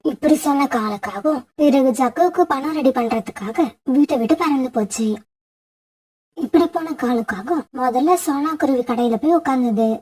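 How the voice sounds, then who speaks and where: High-pitched woman narrating a children's story. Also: moderate pace, a little mellow, breathy edge.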